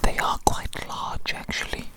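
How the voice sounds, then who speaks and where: A woman whispering an ASMR medical roleplay as an eccentric, doting doctor, breathy and deliberate, often repeating her phrases. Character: eccentric, doting doctor fussing over a tiny patient.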